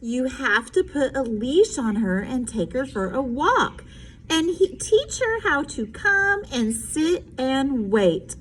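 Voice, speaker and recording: A woman's voice: high-pitched, big pitch swings, takes it slowly, slight background noise, heavily acted, low-quality recording. A woman playing a patient but firm mother frog giving instructions in a storybook. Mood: instructing.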